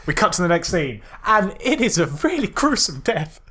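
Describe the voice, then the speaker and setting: A man co-hosting a podcast, retelling a film casually in a loose, halting way with mild exclamations. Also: high-pitched, big pitch swings, pushed and bright, breathy edge. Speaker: podcast co-host. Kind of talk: casual film critique chat.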